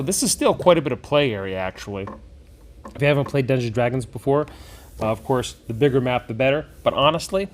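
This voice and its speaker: Man, medium pitch, casual hands-on reviewer, chatty, thinking out loud, hobby gaming host. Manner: conversational, thinking aloud. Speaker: host of a tabletop gaming product review channel.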